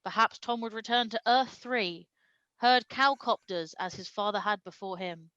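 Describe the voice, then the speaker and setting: A woman's voice: medium pitch, normal speed, sharp tone, smooth, no room sound, dull audio. A woman reading a comic story aloud with dramatic storytelling delivery and amused asides. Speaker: reader of comic fan fiction at a live stream show.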